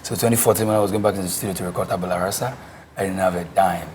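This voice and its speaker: A man's voice: deep voice, steady tone, darkish tone, a little hiss or hum. A man, a guest musician telling stories earnestly in a casual panel chat. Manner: quick, earnest storytelling.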